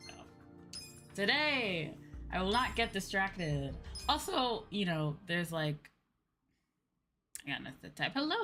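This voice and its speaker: A woman's voice: low-pitched, a bit bright. A woman livestreamer chatting casually to her viewers in a rambling, repetitive way. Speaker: VTuber streamer. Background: background music.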